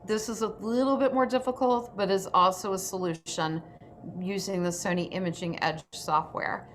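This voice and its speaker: A woman's voice: mid-range voice, steady tone, normal speed, a little hiss or hum. A woman hosting an informal online program, explaining gear in plain conversational language. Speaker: host. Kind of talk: informal presenting to an audience of actors.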